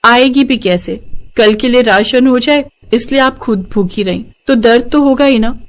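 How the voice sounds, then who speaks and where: A woman playing an earnest high-voiced young sister-in-law. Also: moderate pace, lightly acted. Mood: concerned. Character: earnest, tender-hearted young unmarried sister-in-law in poor family. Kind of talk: animated moral story dialogue.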